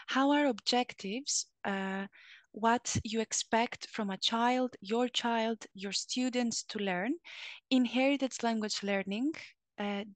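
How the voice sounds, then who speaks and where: A woman's voice: mid-range voice, no echo. A woman moderating an online panel Q&A, reading out audience questions with frequent fillers. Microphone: video call.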